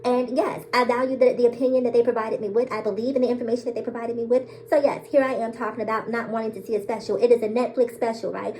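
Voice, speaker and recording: A woman's voice: on the high side, steady tone, fast talker, hardly any pauses, darkish tone, faint music behind. A woman vlogger talking straight to her viewers in a bold, sassy way, laughing often and calling them girl, sis and baby. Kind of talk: casual to-camera monologue.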